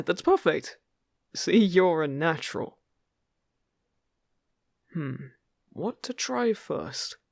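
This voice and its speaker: A man playing a friendly, upbeat fairy guide in an intimate fantasy roleplay, chatty, gentle and encouraging with the listener. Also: fairly high pitch, creaky, dry recording, clean studio sound, heavily acted. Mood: cheerful, helpful, then encouraging, delighted. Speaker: voice actor in an audio roleplay.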